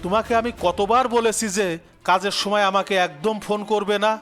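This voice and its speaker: A man plays a callous, irritable city businessman son in a cartoon drama. His voice is high for a man, curt and scornful, with soft music behind. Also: steady tone, fairly quick. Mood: irritated. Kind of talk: melodramatic cartoon voice acting.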